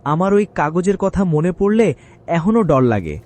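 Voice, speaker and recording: A man's voice: very animated, unhurried, darkish tone. A man playing a timid, fretful schoolboy in a horror audio drama, with a Bangladeshi Bengali dialect. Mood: frightened. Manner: fretful, urgent. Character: timid, anxious schoolboy, easily scared. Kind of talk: acted audio horror story dialogue. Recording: noisy, vehicle rumble behind.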